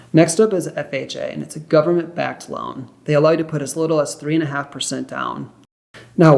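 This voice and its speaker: A young man talks a client through mortgage choices in a cheerful, encouraging tone, dropping in quick affirmations as he goes. His voice sits slightly high and has a gravelly edge. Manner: upbeat, reassuring, explains step by step; frequent affirmations like perfect and great. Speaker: mortgage loan officer. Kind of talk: one-sided mock phone consultation.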